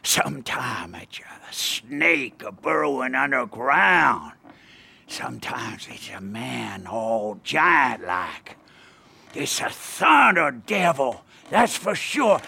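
A man doing a gravelly drunken hillbilly. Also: fairly high pitch, very animated, slow pace, pushed and bright, exaggerated voice. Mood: rambling. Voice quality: gravelly rural drawl. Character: drunken backwoods old man, rambling.

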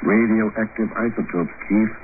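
Low-voiced, polished radio drama agent played by a man. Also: steady tone, a little slow, background noise, natural voice. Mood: interested. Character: suave, caring male government agent who narrates his case. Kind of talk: 1950s radio drama acting and narration. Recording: dull old 1950s radio recording.

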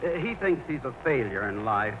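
A muffled, hissy old radio recording of a man acting a baffled, timid man in his late thirties. He is hesitant and earnest, stammering nervously, with a lively, swooping voice in a comedy play. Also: medium pitch, moderate pace, lightly acted. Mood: flustered, earnest. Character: baffled, timid 38-year-old mama's boy.

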